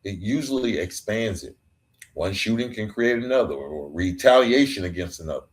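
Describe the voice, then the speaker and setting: A man's voice: on the low side, expressive, normal speed. A man hosting a podcast, delivering an earnest reflective monologue that builds through emphatic repeated phrases. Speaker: podcast host.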